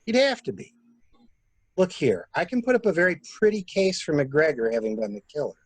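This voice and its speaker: A man playing a calculating murderer who poses as a helpful investigator, musing theatrically in measured phrases in an amateur mystery play. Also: a little high, very animated, husky, no room sound. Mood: coolly explaining. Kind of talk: amateur radio-play style mystery acting.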